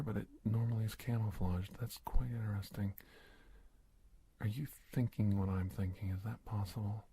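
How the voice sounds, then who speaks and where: A man playing a cautious, polite government investigator in a soft-spoken ASMR roleplay, speaking haltingly and often dropping to a whisper. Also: lowish voice, gravelly, breathy edge, full character voice. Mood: quietly curious. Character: cautious, polite government investigator doctor confronting a disguised creature. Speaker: ASMR performer.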